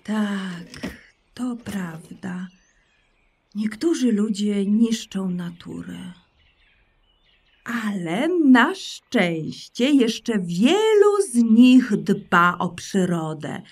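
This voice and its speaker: A woman voicing a gentle, caring mother hare in a children's audio drama, soothing and tender. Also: mid-range voice, big pitch swings, extremely slow, breathy edge, heavily acted.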